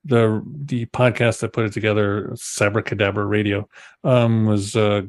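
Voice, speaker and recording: A man's voice: on the low side, level tone, moderate pace. A man from the United States, a musician guest on a podcast, talking casually with many fillers.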